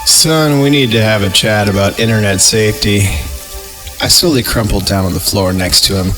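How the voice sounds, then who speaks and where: A man's voice: on the low side, normal speed, a bit bright. A man hosting a horror podcast, reading stories aloud and breaking off for sarcastic, improvised jokes, with music behind him. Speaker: podcast host and reader. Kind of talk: creepypasta reading with joking asides.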